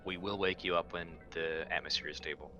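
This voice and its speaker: A man's voice: deep voice, fairly even intonation, a little fast, pushed and bright, dull audio, soft background music. A man playing a tabletop game, talking casually in and out of character with a dry, joking manner.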